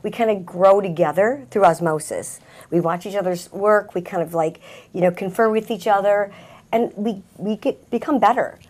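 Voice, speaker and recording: A woman's voice: medium pitch, moderate pace, a little mellow. A middle-aged woman, a salon owner, talking conversationally as a guest in a talk-show interview. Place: small TV talk-show set indoors.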